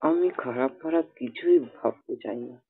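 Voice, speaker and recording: A man's voice: mid-range voice, moderate pace, slightly rough. Defensive young man on a tinny phone line. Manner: defensive, dismissive, repeats "ঠিক আছে". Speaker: caller in a phone argument. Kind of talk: phone argument. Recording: tinny phone call recording.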